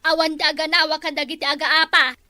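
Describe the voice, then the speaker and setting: Village comedy drama: demanding woman female actor, extremely high, sharp voice. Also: level tone, fast, no echo. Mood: insistent.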